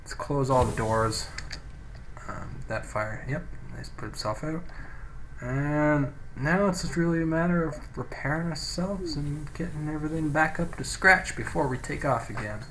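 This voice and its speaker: A man's voice: fairly high pitch, moderate pace, fairly bright tone. Offhand male gamer on a noisy cheap mic. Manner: offhand, thinking aloud. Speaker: gaming video host. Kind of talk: casual let's play commentary. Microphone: cheap low-quality microphone. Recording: poor microphone, faint background noise.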